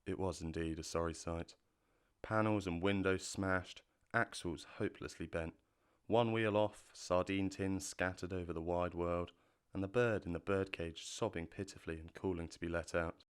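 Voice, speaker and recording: A man narrates a storybook audio drama from a script. His voice is very deep and clear, with a measured, literary delivery. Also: fairly even intonation.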